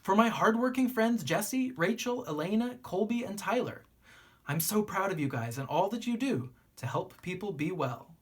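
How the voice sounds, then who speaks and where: A woman reading a children's book aloud like a teacher, with deliberate phrasing and expressive pauses. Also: medium pitch, breathy edge.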